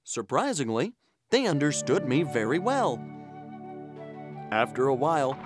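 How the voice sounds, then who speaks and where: An actor playing an earnest, polite traveler who tells his adventure in an expressive children's story style. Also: mid-range voice. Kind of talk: scripted children's story narration in character. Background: music.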